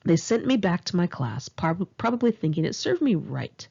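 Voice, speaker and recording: A Californian American woman reading her own memoir aloud in a candid, expressive, conversational way, with a husky, creaky voice. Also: fairly low pitch, normal speed, lo-fi sound. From Los Angeles area, California, United States. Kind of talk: narrated memoir audiobook, one-take home reading.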